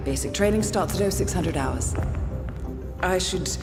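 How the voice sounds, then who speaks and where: British woman spy handler, lowish voice, composed, formal, determined, video game delivery, clear music underneath. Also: animated. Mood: cool, probing. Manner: poised, measured, formal, crisp diction. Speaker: mission handler and training guide. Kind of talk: video game cutscene acting and mission briefing. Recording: background score under the voice.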